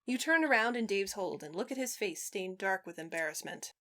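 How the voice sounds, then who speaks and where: A woman's voice: medium pitch, animated, a little fast, bright, forceful tone. A woman reading a story aloud as co-reader, in a narrating style with casual asides. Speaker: podfic co-reader.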